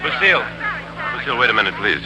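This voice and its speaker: Deep-voiced, troubled father. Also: big pitch swings, fast talker, over a noisy crowd. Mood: worried, insistent. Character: troubled, earnest father in a bitter divorce. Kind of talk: 1940s radio drama acting. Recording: noisy old radio broadcast recording.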